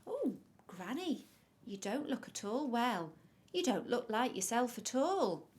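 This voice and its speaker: An innocent little storybook girl played by a woman, trusting and earnest. The voice is high and very animated, with a wide-eyed, polite manner. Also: slow, slight background noise. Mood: puzzled. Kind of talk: children's storybook character voice.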